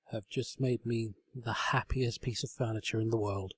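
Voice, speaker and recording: A man voicing a gentle, shy talking bedside table from a comic storybook, earnest, courtly and wistful in a formal way. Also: fairly low pitch, steady tone, normal speed, slightly rough. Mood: hopeful pleading. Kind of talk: comedic storybook reading.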